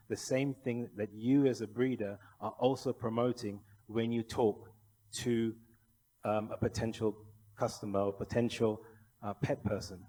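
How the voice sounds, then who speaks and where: Mellow-voiced man speaking in a reverberant hall. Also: medium pitch. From Malaysia. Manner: persuasive, rhetorical questions to the audience. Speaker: conference speaker, kennel federation official. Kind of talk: conference presentation. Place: large indoor conference hall. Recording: echoey hall with long reverb.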